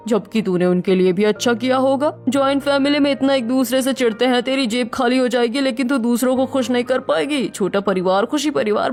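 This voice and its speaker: A woman voicing a cynical married friend, lecturing a bride emphatically in a scripted family drama. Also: high-pitched.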